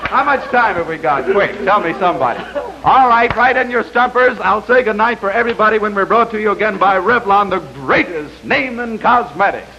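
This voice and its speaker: A man with a high voice works as host and pitchman on live television. The old fifties broadcast sounds dull, with faint noise. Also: expressive, a little fast, crowd noise. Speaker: game show host and pitchman. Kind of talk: 1950s live television hosting and advertising. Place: television studio.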